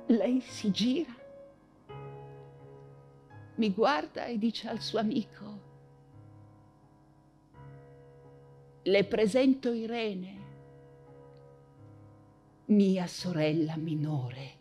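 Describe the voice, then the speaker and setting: An actress playing an anguished, proud woman writer in wartime Paris, in a theatrical monologue with halting, insistent repetitions. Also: mid-range voice, animated, mellow, faint music behind. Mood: wounded, remembering.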